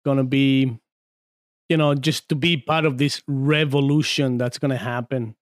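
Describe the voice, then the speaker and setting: A man's voice: mid-range voice, steady tone, moderate pace, dry recording. A man in his forties from Argentina, a podcast guest chatting casually in a studio, telling stories with many fillers and restarts. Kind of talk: casual chat.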